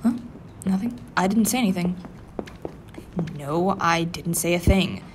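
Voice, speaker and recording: A man's voice: expressive, a little slow. A man playing a prickly, flustered office worker who hides a crush, stammering and grumbling defensively in a tsundere roleplay addressed to the listener. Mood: defensive, snappy. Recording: faint rumbling office and city background noise.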